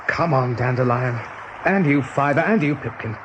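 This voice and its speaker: An actor playing a brave, steady rabbit leader in a radio play, decisive and plain-spoken. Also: male voice, mid-range voice, unhurried, noisy background, lightly acted. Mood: calm, resolute.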